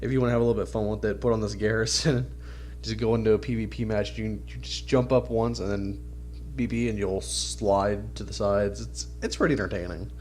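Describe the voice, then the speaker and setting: A man's voice: on the low side, fairly even intonation, fairly quick, a little mellow, a little hiss or hum. A man with a stuffed-up, nasal voice from a cold, giving casual, informal video game commentary as a channel host.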